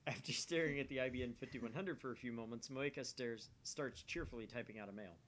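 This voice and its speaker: A man's voice: medium pitch, fairly quick, a bit bright, a little hiss or hum. A man hosting a gaming channel, reading aloud expressively and dropping in casual asides.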